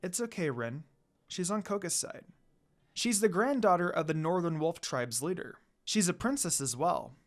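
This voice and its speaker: A woman reading the part of a kind, cheerful young priestess in a story, in a gentle, friendly manner. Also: fairly low pitch, animated, normal speed. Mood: reassuring.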